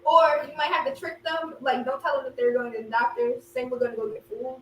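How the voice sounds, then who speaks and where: A woman's voice: high voice, little pitch movement, brisk, a bit bright. A young woman co-hosting a casual podcast chat, inquisitive and teasing, reading out listener questions. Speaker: podcast co-host. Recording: roomy echo, far from mic, faint hum.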